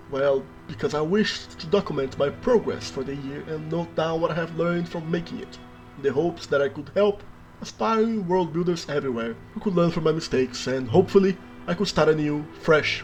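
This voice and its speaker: A man from Brazil whose first language is Portuguese narrates a scripted voice-over. His voice sits a little high, and his delivery is dry and self-mocking with bursts of dramatic emphasis. Soft music plays faintly beneath him. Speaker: worldbuilding video creator.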